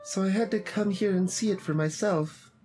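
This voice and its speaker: A woman voicing a sweet, fragile teenage anime girl in a visual novel, speaking in a halting, earnest way. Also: medium pitch, warm tone, close to an everyday voice. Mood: wistful. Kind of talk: visual novel character voice-over.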